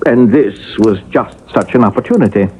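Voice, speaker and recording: A man's voice: lowish voice, normal speed, breathy edge. A man playing the proud, exasperated ghost of a young carpenter in a radio drama, speaking in a formal, old-fashioned way. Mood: proud explaining. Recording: noisy, narrow-band vintage radio broadcast.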